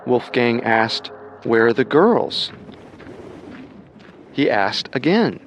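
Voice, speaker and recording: A man narrating a scripted true-crime story with measured, dramatic storytelling. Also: mid-range voice, sing-song, a little hiss or hum.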